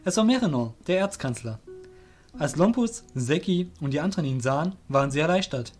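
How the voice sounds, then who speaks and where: Expressive male fantasy storyteller, fairly high. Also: brisk, soft background music. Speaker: narrator. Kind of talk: scripted storytelling narration for a fantasy audio drama.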